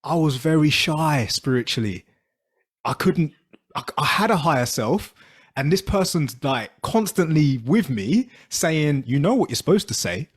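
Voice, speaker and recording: A man's voice: a little high, big pitch swings, unhurried, slightly rough. A man co-hosting a podcast, talking in a rambling, chatty way full of fillers. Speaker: podcast co-host. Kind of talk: casual interview chat.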